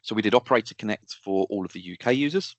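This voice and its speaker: A man's voice: lowish voice, expressive, fast, no echo, muffled recording. A man giving an informal expert explanation in a technical webinar, going step by step with practical examples. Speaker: technical expert answering audience questions.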